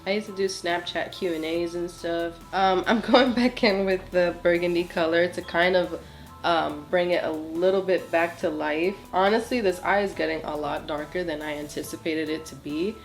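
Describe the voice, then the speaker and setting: Young woman vlogger, lowish and nasal from a cold, chatty and apologetic, soft music bed. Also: steady tone, moderate pace. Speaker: beauty vlogger. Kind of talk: casual makeup tutorial chat. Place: small home studio with plain wall.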